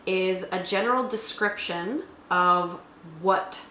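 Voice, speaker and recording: A woman's voice: on the low side, takes it slowly, bright, forceful tone. A woman in casual conversation, explaining things thoughtfully as a tabletop game reviewer and game master. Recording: roomy echo, faint background noise.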